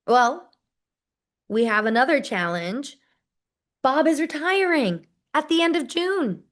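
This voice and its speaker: A woman playing a modest, effusive colleague in natural, expressive staged dialogue. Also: high-pitched, takes it slowly. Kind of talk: dramatized dialogue, natural conversation.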